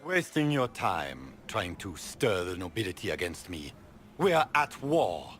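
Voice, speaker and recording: A haughty noble claimant in a fantasy game, with a low voice that swings widely in pitch. He speaks with scornful command, as one used to rule. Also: sing-song, a little slow, over music. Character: haughty, embattled noble claimant to an imperial throne. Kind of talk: video game voice acting.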